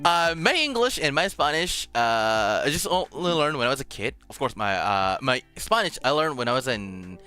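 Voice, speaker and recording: A man hosting a casual streamed chat, halting as he searches for words in a language that is not his native Japanese, sometimes switching to Spanish. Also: mid-range voice, big pitch swings, sharp tone, clean tone. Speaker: host of a streamed radio show. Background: music.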